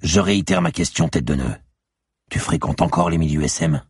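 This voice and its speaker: A man's voice: very deep voice, wildly swooping pitch, fairly quick, vocal fry, husky, dry recording, studio quality, full character voice. A man playing a hard-boiled, sarcastic, menacing police commissioner in an audiobook. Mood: threatening.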